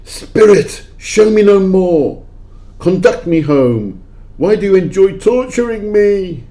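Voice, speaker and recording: A man's voice: high voice, big pitch swings, takes it slowly, warm tone, heavily acted. A man acting as a miserly, fearful man in an amateur audio play, theatrical and imploring. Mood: anguished pleading.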